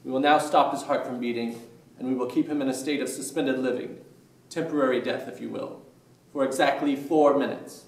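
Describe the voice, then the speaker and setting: The sound is echoey and set back from the microphone. It is an actor as a male doctor with a showman's streak in a stage play. He explains things formally, with clinical assurance, in a medium-pitched voice. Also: fairly even intonation, brisk, lightly acted. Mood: assured, presenting. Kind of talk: theatrical demonstration in a staged play reading. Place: indoor room, staged reading recorded from afar. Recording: echoey room, distant mic.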